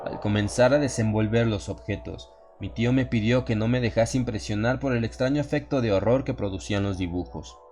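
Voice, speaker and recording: A man reading a first-person horror story as a scripted audiobook, with measured, solemn and dramatic delivery. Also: on the low side, music in the background. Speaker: audiobook narrator.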